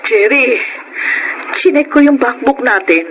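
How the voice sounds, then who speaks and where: A woman's voice: high voice, expressive, measured pace, noisy background. An actress playing an exasperated middle-aged mother in a Filipino radio drama, scolding and fretting with emphatic delivery. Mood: worried, grave. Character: exasperated middle-aged mother worrying over squandered lottery fortune.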